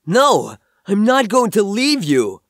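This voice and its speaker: A man's voice: sits high, wildly swooping pitch, slow, fairly bright tone, no room sound, clean studio sound, heavily acted. A man playing a young, devoted son, desperate but brave, speaking earnestly and insistently in an audio drama. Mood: alarmed, defiant.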